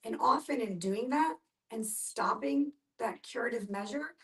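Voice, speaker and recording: High-voiced woman, away from the mic. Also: expressive, measured pace. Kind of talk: explaining to a discussion group. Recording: distant from the microphone.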